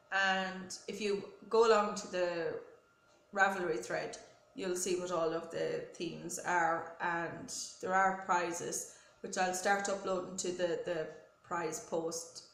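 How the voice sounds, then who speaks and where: A woman's voice: on the low side, a bit bright. A woman hosting a knitting podcast with a head cold, talking in a friendly, rambling show-and-tell way with many ums and self-corrections. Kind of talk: casual chatty show-and-tell. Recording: roomy echo with faint noise.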